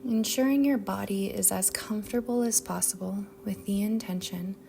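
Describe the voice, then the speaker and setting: A woman guiding a meditation in a soft, whispery-edged voice, speaking gently and soothingly with long pauses. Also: medium pitch, vocal fry, slight background noise. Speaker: yoga nidra meditation guide. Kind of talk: guided meditation narration. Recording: soft music bed behind voice. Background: music.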